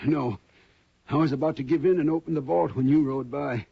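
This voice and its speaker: A beaten, dazed townsman in an old radio western, halting and shaken. His voice is gravelly and very raspy, and it is recorded dry and lo-fi, like an early broadcast. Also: on the high side, fairly even intonation, no room sound. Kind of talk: 1950s radio drama acting.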